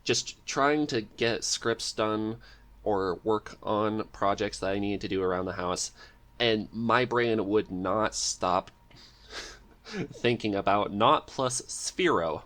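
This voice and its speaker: A man with a fairly low voice hosts a relaxed podcast conversation. He talks casually and jokingly, with a giggly streak. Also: slow. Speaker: podcast host. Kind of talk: casual interview chat.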